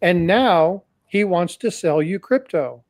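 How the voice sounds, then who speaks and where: A man's voice: high-pitched, lively intonation, slow pace, dry recording, clean studio sound. A man hosting a livestream, talking casually and cautioning his audience, often repeating phrases and addressing them directly. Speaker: host. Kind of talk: livestream commentary.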